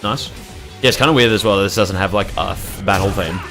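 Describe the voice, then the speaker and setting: Male gaming commentator: lowish pitch, casual, profane, rambling delivery with music behind. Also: quick pace, fairly bright tone. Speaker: gaming video creator.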